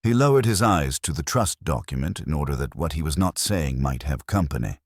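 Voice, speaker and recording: Very deep-voiced man narrating a mystery. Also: lively intonation, brisk, breathy edge, no room sound, clean studio sound. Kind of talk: scripted literary mystery narration, solo audio drama.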